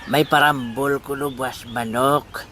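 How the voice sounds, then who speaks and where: A man's voice: medium pitch, fairly even intonation, measured pace, bright, forceful tone. A man playing a scheming, money-minded village man in a comedy audio drama.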